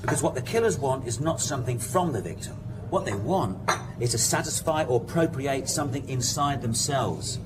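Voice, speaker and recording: An actor playing a determined police detective in a radio drama, firm, insistent and deliberate in his persuasion. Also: mid-range voice, expressive, background noise, close to an everyday voice. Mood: insistent, convinced. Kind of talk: naturalistic radio drama acting.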